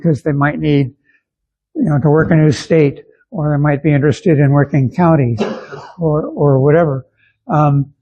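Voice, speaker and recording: An older man giving an informal club talk, explaining things in a halting, unhurried way. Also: on the high side, lo-fi sound. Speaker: amateur radio club guest speaker.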